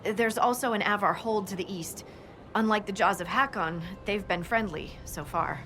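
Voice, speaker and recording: A woman's voice: mid-range voice, moderate pace, a bit bright, a little hiss or hum, natural voice. An actress playing a capable, earnest female military scout in a video game, briefing in a concerned, matter-of-fact way. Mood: concerned, reporting.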